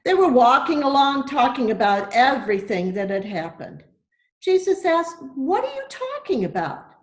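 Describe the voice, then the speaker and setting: A woman reading a Bible story aloud for children, in deliberate, simple phrases as a church storyteller. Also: sits high, very animated. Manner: deliberate, simple phrasing with questions to young listeners. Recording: echoey room.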